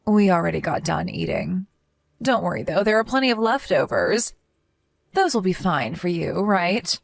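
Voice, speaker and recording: A woman's voice: fairly low pitch, big pitch swings, normal speed, gravelly, no echo, clean studio sound, exaggerated voice. A woman voicing a protective young sister-in-law who speaks in an earnest, reassuring manner. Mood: stern.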